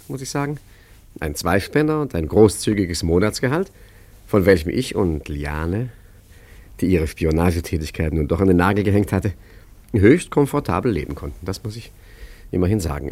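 An actor playing a genial, self-satisfied ageing art forger in a radio play, chatting urbanely and wryly with touches of self-pity. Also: male voice, on the low side, a little mellow. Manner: chatty, wry, urbane and self-pitying, with small chuckles. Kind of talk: German radio play narration and dialogue.

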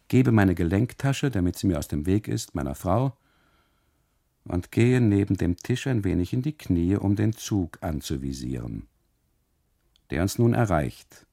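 A man performing a scripted literary radio monologue as a first-person narrator, with dramatic, deliberate phrasing. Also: sits low, vocal fry, dark tone. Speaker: actor narrating as a photographer husband.

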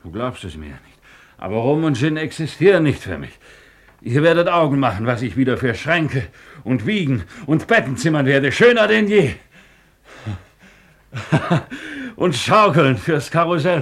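An actor playing a wistful, down-at-heel joiner who drinks, halting and pleading, in a 1950s German radio play. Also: male voice, a little high, sing-song, a bit bright, slightly rough, exaggerated voice. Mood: pleading, boastful. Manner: halting, pleading, swinging into boastful hope.

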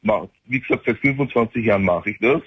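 A man's voice: on the low side, fast, breathy edge. A man in his forties with an Austrian accent, calling in to a radio show and rambling with many fillers and restarts. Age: 40s. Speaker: caller. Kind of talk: phone-in call. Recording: dull lo-fi phone line.

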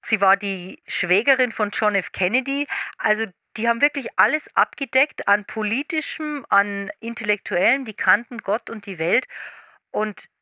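A woman's voice: medium pitch, normal speed, sharp tone, no echo, studio quality. A woman giving spontaneous commentary in a colloquial, emphatic way.

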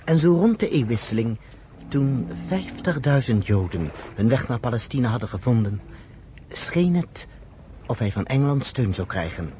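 A man narrating a radio play as an expressive, deliberate storyteller. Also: mid-range voice, slight background noise, natural voice.

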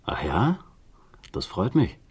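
Deep, husky police inspector. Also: male voice, huge pitch swings, full character voice. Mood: calm, probing. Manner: polite, measured questioning. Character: calm, probing, courteous male police inspector. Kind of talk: German radio crime drama acting.

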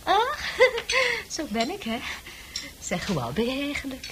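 A girlish, excitable busybody eager for company. Her high voice swoops about in a coquettish, prying way, in a radio drama with faint noise from the old recording. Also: unhurried, full character voice. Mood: eager, coaxing. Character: chatty, nosy, eager, girlish woman newcomer. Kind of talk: Dutch radio play acting.

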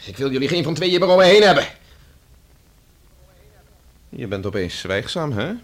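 An actor playing a stern, contemptuous husband in a Dutch radio drama, speaking with biting authority. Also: male voice, mid-range voice, big pitch swings, moderate pace, lightly acted. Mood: stern anger.

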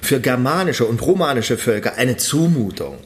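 Eager, animated academic played by a man. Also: medium pitch, moderate pace, close to an everyday voice. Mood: insistent, persuasive. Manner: earnest, insistent salesmanship. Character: eager, persistent male academic petitioning for funding. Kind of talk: radio play acting.

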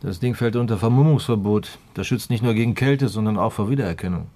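A man's voice: fairly low pitch, fast talker, warm tone, close to an everyday voice. An actor in a crime radio play voicing a sober man weighing evidence, factual and dryly objecting.

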